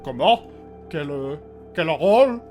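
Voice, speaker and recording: An actor playing a hesitant, apologetic subordinate eager to justify himself in a fantasy audio drama. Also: male voice, a little high, lively intonation, slow pace, a little mellow, soft background music. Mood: nervous.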